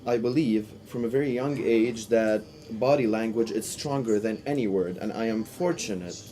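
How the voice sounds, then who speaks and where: A man interpreting a panel discussion live, in halting phrases with restarts and sudden bursts of speed. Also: on the low side, mellow. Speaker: simultaneous interpreter.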